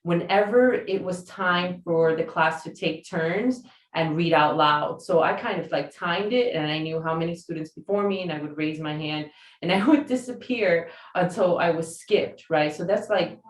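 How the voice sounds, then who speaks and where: A woman's voice: fairly low pitch, moderate pace. A woman podcast host whose first language is Spanish, speaking reflectively and casually with frequent fillers. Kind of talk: conversational interview. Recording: roomy sound from a distance.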